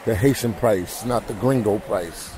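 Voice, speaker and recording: A man's voice: fairly low pitch, unhurried, crowd noise. A man with an American accent, a travel vlogger talking casually and slangily, often repeating himself. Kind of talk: casual vlog narration and chat. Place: outdoors on a busy beach, walking. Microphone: handheld camera held by the speaker. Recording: outdoor beach with people chattering around.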